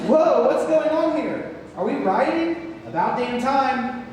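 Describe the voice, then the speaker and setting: Guy doing an excited brash circus stagehand, way high voice, exclaiming, stage play, noisy room. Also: slow pace, some chatter behind, exaggerated voice. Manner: loud, exclaiming. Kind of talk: theatrical play read-through. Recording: noisy echoey hall, far from mic.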